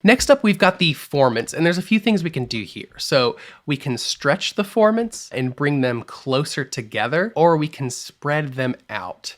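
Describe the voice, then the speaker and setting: A man teaching music production in a screen-recorded tutorial, explaining each step clearly and with upbeat energy. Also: a little high, fairly bright tone.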